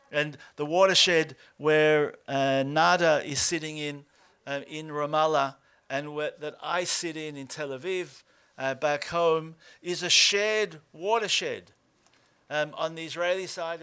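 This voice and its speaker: Aussie guy in his 50s giving a talk, lots of uhs and restarts, kinda high smooth voice. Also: fairly bright tone. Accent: Australian. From Australia. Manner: halting, many fillers and restarts. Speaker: organization co-founder. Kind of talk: speaking at a lunch talk.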